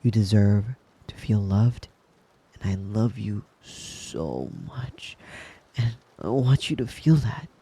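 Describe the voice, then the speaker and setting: A man playing a devoted, possessive young wolf-boy lover in an intimate ASMR roleplay, tender and breathy, often slipping into a whisper. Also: on the low side, expressive, warm tone, heavily acted. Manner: tender, doting, slow and lingering with pet names. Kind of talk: intimate ASMR roleplay, soothing bedtime murmur.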